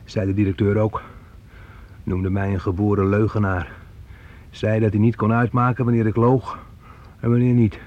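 A man's voice: lowish voice, flat delivery, moderate pace, pauses often, lo-fi sound, close to an everyday voice. An actor playing a grown son who talks on familiarly to his mother, teasing and probing, in a Dutch radio play. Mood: slyly teasing. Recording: noisy old radio play recording.

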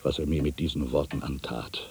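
An older man playing an ageing, bitter writer in a literary radio drama monologue, musing theatrically with rhetorical questions and pauses. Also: rumbling low, fairly even intonation, vocal fry, darkish tone, slight background noise. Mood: subdued, uneasy. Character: ageing male writer, brooding, bitter, addressing his past.

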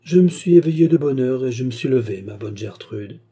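A gentle young gentlewoman from a storybook, read by a man, caressing and coaxing in manner. The voice is very mellow and slightly husky, affectionate but quietly determined. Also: medium pitch, animated, fairly quick. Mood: smiling, reassuring. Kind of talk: audiobook character dialogue.

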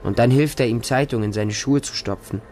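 A man's voice: mid-range voice, fairly quick, a little mellow, faint music behind, lightly acted. An actor narrating a radio drama as a bleak, hardened homeless Russian street boy, in short, stark sentences. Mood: desolate. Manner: short, plain, stark present-tense sentences.

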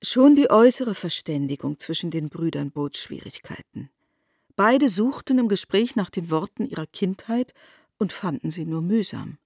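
A woman narrating a literary radio drama from a script in a measured, articulate storytelling manner. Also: lowish voice, lively intonation, creaky, darkish tone, husky, no echo, clean studio sound.

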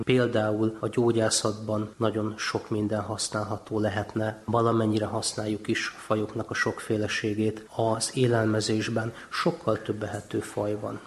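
A man giving a measured explanation as an interviewed expert. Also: fairly low pitch.

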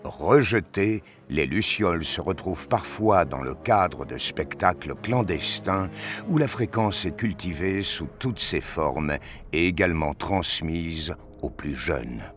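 A man with a very low voice narrates a science-fiction audio drama. His delivery is very slow and deliberate, with animated swings in pitch and faint music behind him.

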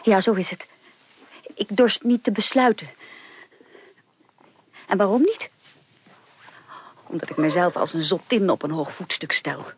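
A woman playing a proud, remorseful young upper-class woman in a Dutch radio drama, speaking emphatically and haltingly. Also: medium pitch. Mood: agitated pride. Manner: emphatic, halting with repeated words. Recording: clearly noisy 1980s radio recording.

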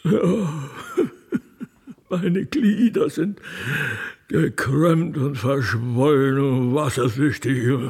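A man's voice: mid-range voice, vocal fry, darkish tone, breathy edge, voice pushed down, full character voice. A man voicing a decrepit, ancient God the Father, forgetful and given to wailing and blustering, in a theatrical radio play. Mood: lamenting, self-pitying. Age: very old. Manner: drawn-out, lamenting, blustering.

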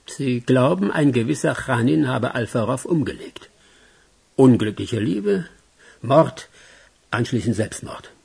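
A man's voice: mid-range voice, animated, unhurried, vocal fry, darkish tone, breathy edge, exaggerated voice. A man playing a wary, businesslike criminal in a radio crime drama, terse and insistent. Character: wary, businesslike male criminal urging his partner on. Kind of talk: German radio play acting.

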